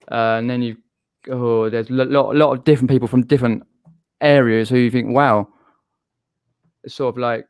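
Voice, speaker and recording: Smooth-voiced southern English man hosting. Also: mid-range voice, no echo, clean studio sound. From southern England. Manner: chatty, rambling with restarts. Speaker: live-stream host. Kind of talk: casual live-stream chat.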